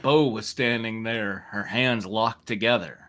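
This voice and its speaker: A man's voice: lowish voice, a little slow, a bit bright. A man narrating a story aloud for comedy, wry and amused, slipping into casual asides. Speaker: narrator and reader. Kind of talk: comic fan fiction reading with casual asides.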